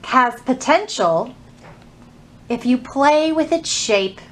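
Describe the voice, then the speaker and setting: Slow, high-pitched woman presenting children's storytime. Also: animated. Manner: simple, instructive phrasing with questions to young listeners. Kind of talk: children's storytime, teaching and reading aloud to young kids.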